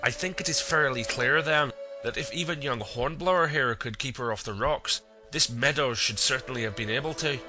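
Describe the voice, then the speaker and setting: A man plays a naval officer in an audio drama, speaking formally and directly. The pitch is average for a man. Music plays clearly behind him. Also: normal speed, sharp tone. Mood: stern, official.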